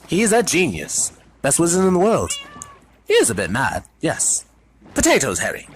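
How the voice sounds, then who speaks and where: A pompous, airy teenage prefect, as a man puts him on, lifted higher than the reader's own voice and self-important. A faint hiss is under the storybook reading. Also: huge pitch swings, extremely slow, bright, forceful tone, heavily acted.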